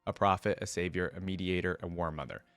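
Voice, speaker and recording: A man with a fairly low voice, recorded close and dry. He reads fantasy lore aloud as a reaction host and adds casual, conversational commentary of his own. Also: steady tone, quick pace, fairly bright tone, dry recording.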